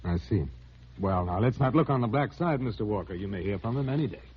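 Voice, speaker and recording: Evasive government man, played by a male actor: deep voice, soothing, measured, 1950s radio drama, muffled noisy recording. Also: animated, close to an everyday voice. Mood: placating. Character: evasive, placating male official just doing his job.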